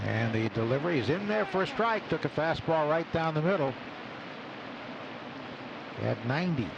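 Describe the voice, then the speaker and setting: Higher-pitched man calling baseball on radio. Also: lively intonation, normal speed, gravelly, highs cut off. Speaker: play-by-play baseball announcer. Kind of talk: live radio baseball commentary with sponsor reads. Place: open broadcast booth at outdoor ballpark. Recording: noisy outdoor ballpark radio broadcast. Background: loud stadium ambience.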